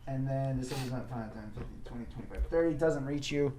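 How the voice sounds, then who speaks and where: A man's voice: mid-range voice, quick pace, dark tone. A man running a tabletop game as dungeon master, narrating casually with joking, teasing asides.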